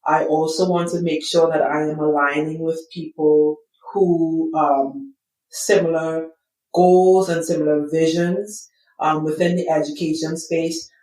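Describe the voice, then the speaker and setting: A middle-aged woman from Trinidad and Tobago with a Trinidadian accent, answering interview questions in an earnest, measured way and repeating words as she thinks. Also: low voice, little pitch movement, a little mellow. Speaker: guest, school psychologist and business founder. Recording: roomy sound, distant from the mic.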